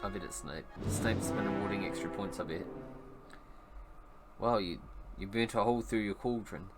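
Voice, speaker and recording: A man's voice: lowish voice, moderate pace. Laid-back New Zealand man streaming games. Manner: relaxed chatty asides, chuckles often. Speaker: gaming streamer. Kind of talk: casual live gameplay commentary. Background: video game music.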